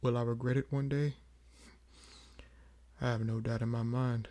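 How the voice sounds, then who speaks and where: A man playing a weary, proud old vampire in an audio roleplay, speaking in a measured, brooding way with frequent pauses. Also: mid-range voice, level tone, warm tone, lightly acted. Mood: somber, guarded. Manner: measured, brooding, pausing often. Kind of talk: slow dramatic audio roleplay monologue.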